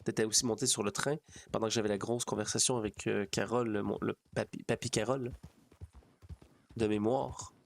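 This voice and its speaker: A man's voice: on the low side, fairly even intonation, fairly quick, gravelly. A man with a Quebec French accent chatting casually to livestream viewers, rambling and repeating his phrases. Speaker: streamer.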